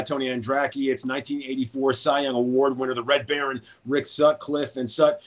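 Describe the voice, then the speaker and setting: Upbeat high-voiced man hosting a sports podcast. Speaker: podcast host.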